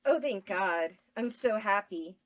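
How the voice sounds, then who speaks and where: A woman's voice: medium pitch, expressive, slow. A woman voicing a gushing, nervous young superfan at a script table read, eager and pleading. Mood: relieved.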